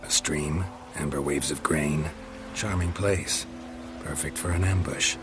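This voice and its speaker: An actor playing a stoic, dryly wry monster hunter in a video game, speaking in terse, clipped lines. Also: male voice, rumbling low, a little slow, over music. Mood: terse, businesslike. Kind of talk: video game cutscene dialogue.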